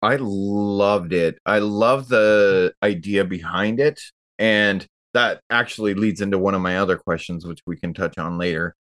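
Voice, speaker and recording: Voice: deep voice, fairly even intonation, a little slow, a bit bright. A podcast co-host talking casually in an informal group chat.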